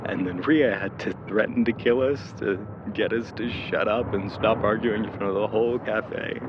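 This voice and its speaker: A man acting in an audio drama as a lovestruck young man, awkwardly tender and teasing, with a halting, hesitant way of talking. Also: fairly low pitch, steady tone, dull audio, background noise, natural voice. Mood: wistful reminiscing.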